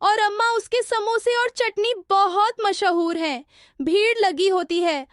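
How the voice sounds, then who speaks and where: A woman's voice: very high-pitched, a little slow, no echo, clean studio sound. A woman voicing a hungry village boy who pleads with his mother and a shopkeeper for samosas. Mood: pleading. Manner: whiny, pleading. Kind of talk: cartoon voice acting.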